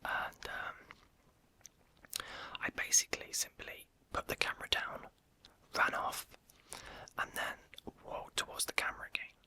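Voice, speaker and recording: Young youtuber whispering the whole time, asmr q&a, lots of ums, crisp bright hiss. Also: slow pace, pauses often. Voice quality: unvoiced whisper throughout. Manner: hesitant and rambling, many "um"s, self-correcting. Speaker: YouTube creator answering viewer questions.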